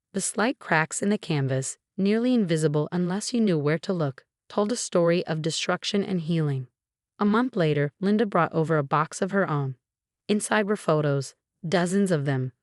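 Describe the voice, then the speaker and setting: Female story narrator, lowish pitch, measured and earnest delivery, very dry close sound. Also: darkish tone, no echo. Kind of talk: scripted first-person story narration.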